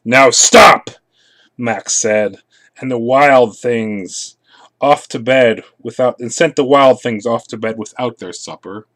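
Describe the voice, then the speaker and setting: A man reading a children's picture book aloud, expressively, with soft asides to his listeners. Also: medium pitch. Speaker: storybook reader.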